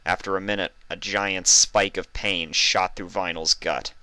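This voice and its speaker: A man's voice: sits low, fairly even intonation, a little slow, bright, forceful tone, no echo. A man reading fan fiction aloud as scripted narration.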